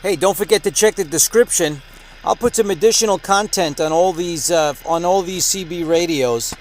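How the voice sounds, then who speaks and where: A man's voice: sits high, moderate pace, a little hiss or hum. A man hosting a conversational product review, presented in the manner of a radio show. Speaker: host of a radio gear review channel.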